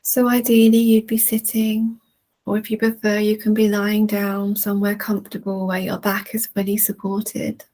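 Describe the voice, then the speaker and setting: A woman leading a guided relaxation in a soothing, gentle manner with long, unhurried pauses. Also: mid-range voice, monotone, poor audio. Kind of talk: guided relaxation, meditation narration.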